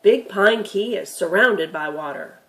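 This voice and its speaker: A woman's voice: mid-range voice, animated, measured pace, lightly acted, poor audio. A woman voicing a patient, knowledgeable grandfather who explains things emphatically in a children's storybook reading. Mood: patient, explanatory.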